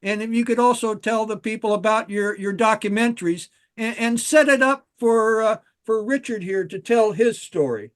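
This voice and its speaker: High-voiced, halting man hosting. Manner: halting, stammering, repeats words. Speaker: podcast host interviewing guests. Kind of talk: casual, conversational interview.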